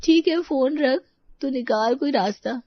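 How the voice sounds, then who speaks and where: Here is a playful young woman friend, acted by a female storyteller, pitched high and full of teasing exclamations. She belongs to a moral story, and the sound is slightly dull. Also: measured pace, no room sound. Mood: lively, curious. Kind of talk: Hindi moral-story character dialogue.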